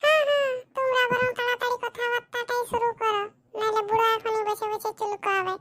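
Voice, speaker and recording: Excitable comedy cartoon villager, extremely high pitch-shifted voice, colloquial and complaining. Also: male voice, fairly quick, over a noisy crowd. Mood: chatty. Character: squeaky, excitable cartoon villager at a matchmaking visit. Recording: clearly noisy audio with clicks.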